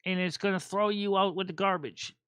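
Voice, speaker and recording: A man hosting a casual chat stream about tarot cards, talking in a rambling, halting way with many fillers and restarts. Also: high-pitched, fairly even intonation, a bit bright, smooth, no echo, clean studio sound. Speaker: host.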